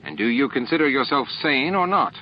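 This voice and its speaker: Man acting a smooth, condescending alien hive leader. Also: medium pitch, expressive, a little fast, muffled recording, a little hiss or hum, voice pushed up, natural voice. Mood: puzzled, smug. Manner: smooth, formal, self-assured. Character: calm, condescending male alien hive leader, reasonable and baffled.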